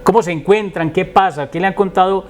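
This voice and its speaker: A man's voice: high voice, brisk. A man with a Colombian accent hosting a conversational interview, effusive and given to repeating his words. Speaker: interview show host.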